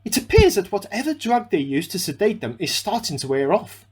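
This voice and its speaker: A man acts out an eccentric amateur scientist in a radio play. His voice is fairly high and very lively in pitch, with a slightly husky edge. He speaks his diary entries earnestly and with some self-importance, musing aloud as he goes. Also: a little fast, exaggerated voice. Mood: pleased, expectant.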